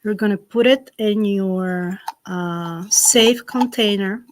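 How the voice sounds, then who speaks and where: A woman with a Slovak accent, a native Slovak speaker, hosting a live-streamed ritual from home and giving slow, gentle instructions full of fillers. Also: mid-range voice, slight background noise. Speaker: spiritual ritual host. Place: home in the south of France. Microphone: computer on a live stream.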